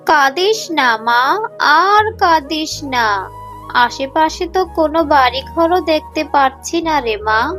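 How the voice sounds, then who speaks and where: A poor, gentle mother fox, voiced by a woman speaks slowly in a high voice. She sounds weary and pleading, in a children's cartoon with music underneath. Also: animated, full character voice. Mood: sad, comforting. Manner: pleading, sorrowful. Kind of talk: children's cartoon story dialogue. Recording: music behind the voice.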